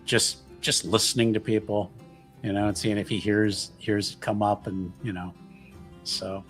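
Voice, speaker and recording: A man taking part in a tabletop roleplaying game, casually improvising what his character does and thinking aloud in a halting, jokey way. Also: on the low side, steady tone, soft background music.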